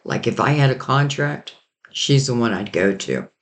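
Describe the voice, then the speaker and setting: A man giving a rambling, casual tarot reading while losing his voice and sounding hoarse. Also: mid-range voice, animated, moderate pace, fairly bright tone. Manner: rambling, loose and intuitive, many "like" and "you know". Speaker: tarot reader.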